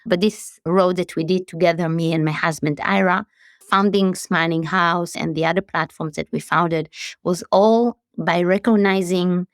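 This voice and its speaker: Reflective woman in her late forties, low-pitched. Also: steady tone, normal speed, no echo, clean studio sound. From Israel. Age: 40s. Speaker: CEO guest. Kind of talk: interview conversation.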